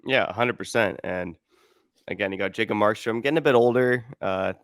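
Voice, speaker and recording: Low gravelly man talking stats. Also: dry recording, clean studio sound. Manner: measured, stat-heavy, hedging with "you know". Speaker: podcast host. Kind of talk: casual sports analysis.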